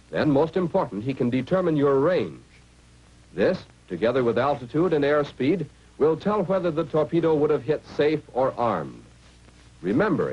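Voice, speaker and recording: A man's voice: fairly high pitch, darkish tone, slightly rough. An American man narrating a scripted 1940s military training film, instructing the listener directly on an old film soundtrack. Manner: instructional, direct second-person address. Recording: dull, faintly noisy 1940s film soundtrack.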